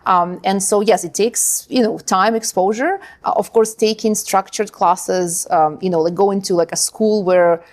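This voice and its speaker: A woman's voice: mid-range voice, fairly even intonation, measured pace, hardly any pauses. A woman from Ukraine, a language school founder, talking casually as a podcast guest, full of fillers and tag questions. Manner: chatty, many fillers and "right?". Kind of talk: podcast interview.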